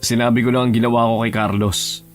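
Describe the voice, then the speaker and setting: Male actor as blunt young office worker, audio drama. Cool, terse, low-key scolding over soft music. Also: level tone, fast talker, lightly acted. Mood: curt, admonishing.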